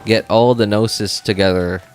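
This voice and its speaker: Low-pitched man chatting over game music. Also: fairly even intonation, normal speed, darkish tone, clear, resonant tone. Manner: rambling, thinking aloud, exclamatory asides. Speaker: game streamer. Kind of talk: casual livestream chat. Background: game music and dialogue.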